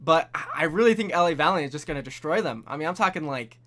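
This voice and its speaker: A young man hosting a casual esports prediction chat, animated and full of bold, hype-driven takes. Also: fairly high pitch, quick pace. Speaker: host.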